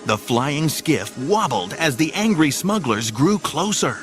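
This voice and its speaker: A man's voice: fairly high pitch, sing-song, unhurried. A man narrating a scripted adventure drama in a theatrical, suspenseful way, with music behind him.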